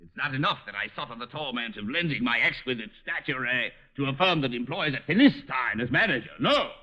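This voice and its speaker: An actor playing a pompous, irascible eccentric collector in a vintage radio drama, scolding in haughty, florid phrases. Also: male voice, mid-range voice, expressive, fairly quick, natural voice. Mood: indignant, impatient. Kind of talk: 1950s radio drama acting. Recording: muffled vintage radio recording.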